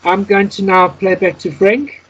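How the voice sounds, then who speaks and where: A man's voice: high-pitched, steady tone, moderate pace, fairly bright tone. A man giving step-by-step spoken instruction as a teacher, announcing each item word by word over an internet call. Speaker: Morse code practice instructor. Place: Skype call. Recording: dull lo-fi internet call, clear noise.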